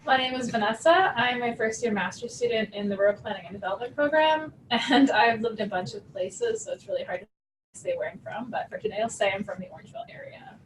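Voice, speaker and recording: A woman's voice: on the high side, expressive, fast. A young woman, a graduate student, casually introducing herself in a classroom. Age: young adult. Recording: distant mic, faint room noise.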